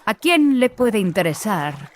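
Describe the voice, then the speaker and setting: A woman playing a haughty, impatient young sorceress adept in an audiobook, speaking in a mocking, deliberate, falsely sweet way. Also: medium pitch, sing-song, slight background noise. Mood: irritated, sarcastic. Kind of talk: acted audiobook dialogue.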